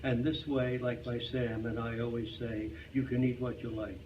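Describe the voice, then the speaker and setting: A man's voice: medium pitch, level tone, normal speed. A man giving a scripted humorous reading of a narrated story in live performance. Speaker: reader at a reader's theater club. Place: club hall, live performance. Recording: muffled, noisy, distant live hall recording.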